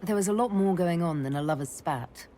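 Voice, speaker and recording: An actress playing a calm elf mage leader in a video game, direct and composed. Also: female voice, lowish voice, a little fast, close to an everyday voice. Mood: dry. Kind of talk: dramatic video game dialogue. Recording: slight background noise and ambience.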